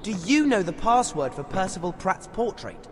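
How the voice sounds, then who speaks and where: A man's voice: sits high, sing-song, moderate pace, slight background noise. An actor playing a teenage boy student in a video game who speaks tersely and plainly.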